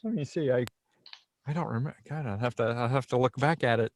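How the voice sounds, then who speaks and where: A man's voice: mid-range voice, fast. A man chatting casually and jokily on a group video call, rambling and often repeating himself. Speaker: voice actor and participant in a script table read. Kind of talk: casual group chat after a table read.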